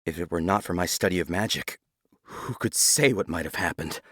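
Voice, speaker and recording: A man voicing a courteous older lord and scholar of magic, formal, polite and measured, in a gothic visual novel. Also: deep voice, a bit bright, no echo, clean studio sound. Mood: courteous, apologetic.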